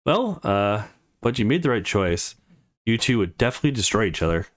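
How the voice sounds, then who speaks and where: A man's voice: on the low side, sing-song, a little fast. A man giving casual, chatty, laid-back gameplay commentary as the host of a let's play. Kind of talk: casual gameplay commentary, reading dialogue aloud.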